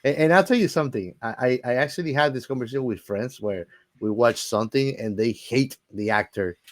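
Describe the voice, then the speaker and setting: Male podcast co-host, average pitch, very dry sound; informal, blunt, slangy movie chat. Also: a little fast, dry recording. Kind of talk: casual movie discussion.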